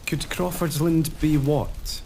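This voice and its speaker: A man's voice: on the high side, fairly even intonation, takes it slowly, gravelly, warm tone, a little hiss or hum. A man playing a devoted laird and husband in a period audio drama, tender and reassuring. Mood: teasing.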